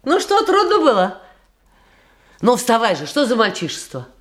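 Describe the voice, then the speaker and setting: An actress in a radio play voicing a coquettish, playfully affectionate stage actress with effusive, cooing theatrical delivery. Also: female voice, on the high side, huge pitch swings, moderate pace. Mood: playful, coaxing.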